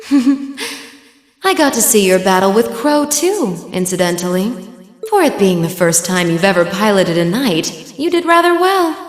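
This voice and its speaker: A woman's voice: medium pitch, sing-song, a little slow. A woman voicing an elegant, coy villainess sorceress in a video game, sultry and condescending as she teases. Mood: smug, composed. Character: elegant, teasing villainess sorceress speaking through her bird familiar. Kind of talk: English video game voice acting. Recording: roomy long reverb, music underneath. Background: music.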